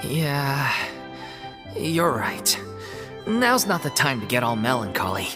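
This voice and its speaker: A gentle, hesitant female troupe member in a game. Her low voice is slow and wistful, with music clearly heard behind it. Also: very animated, heavily acted. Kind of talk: video game voice acting. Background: loud music.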